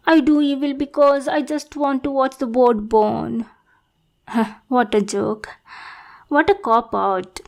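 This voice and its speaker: Woman playing a slow-talking, lovesick female supervillain. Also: on the high side, a little mellow. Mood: indignant, scornful. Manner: theatrical, pleading, dropping into whispers. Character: lovesick, needy female supervillain, self-pitying and possessive. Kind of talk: scripted roleplay monologue addressed to the listener.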